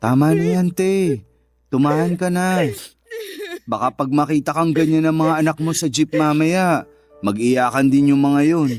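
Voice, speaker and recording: An actor playing a steadfast, consoling young bachelor in a Filipino radio love-story drama. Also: male voice, a little high, moderate pace, a little mellow, close to an everyday voice. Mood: gentle, consoling. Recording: music bed under the voice.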